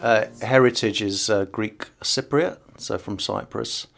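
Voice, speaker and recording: Hesitant low-voiced British man. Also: unhurried, creaky, a bit bright, soft background music. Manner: hesitant, many fillers and restarts. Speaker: podcast guest. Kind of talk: casual interview conversation.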